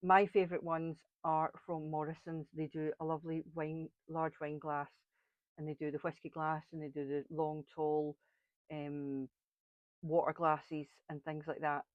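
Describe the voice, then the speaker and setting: A woman's voice: sits low, level tone, a little slow, dry recording, phone-quality sound. A woman with a Scottish accent, chatting her way through a step-by-step crafting tutorial with blunt, frank asides. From Scotland.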